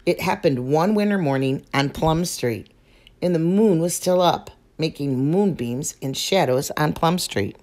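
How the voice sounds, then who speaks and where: A woman reading a children's picture book aloud in a slow, gentle and expressive way. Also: deep voice. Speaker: storyteller.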